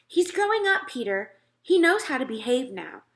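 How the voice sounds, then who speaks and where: A woman's voice: sits high, very animated, normal speed, pitched above the performer's own voice. A woman voicing an upbeat, insistent mother coaxing her son in a storybook reading.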